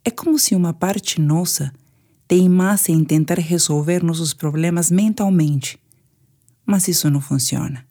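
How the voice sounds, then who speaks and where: A woman's voice: on the low side, measured pace, dark tone. A woman with a Brazilian Portuguese accent guides a sleep meditation in a soothing, instructive manner.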